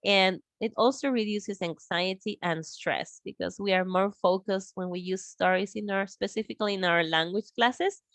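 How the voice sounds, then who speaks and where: A woman's voice: mid-range voice, a bit bright, no echo. A woman from Argentina presenting an English teaching workshop in an online meeting, hesitant and full of fillers. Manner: slow, hesitant, with fillers and laughs. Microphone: video call.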